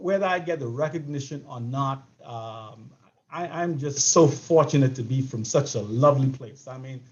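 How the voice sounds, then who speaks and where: A man in his sixties from the Bahamas with a Bahamian accent, telling a story as a panel guest, animated and often repeating his words. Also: on the high side. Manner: animated, repeats words, laughs between phrases. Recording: muffled lo-fi audio.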